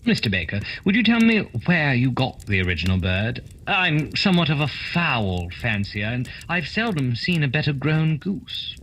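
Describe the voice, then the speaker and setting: Man acting a theatrical detective, declamatory, dry-witted, forceful tone, animated, radio drama. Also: medium pitch, normal speed, hardly any pauses, a little hiss or hum, low-quality recording. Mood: courteous, probing. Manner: formal, declamatory. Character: shrewd, theatrical male detective, dryly explaining.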